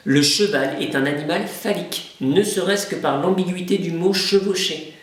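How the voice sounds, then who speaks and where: A man's voice: high voice, moderate pace. A man in his thirties talking in a conversational comedy sketch, indignant and emphatic, as a fussy dad. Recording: very echoey room, faint noise.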